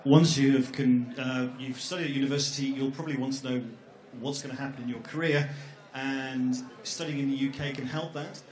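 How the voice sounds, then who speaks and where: A man's voice: medium pitch, steady tone, fairly quick, darkish tone. Joking middle-aged man in an echoey hall. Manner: jokey, rambling, with many fillers. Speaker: co-presenter. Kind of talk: informal presentation at an education fair. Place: large echoey exhibition hall.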